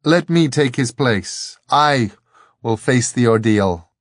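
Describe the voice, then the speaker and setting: A man's voice: medium pitch, animated, slow, no room sound, clean studio sound. A man voicing a bold, resolute woman bodyguard in an audiobook, speaking in firm declarations.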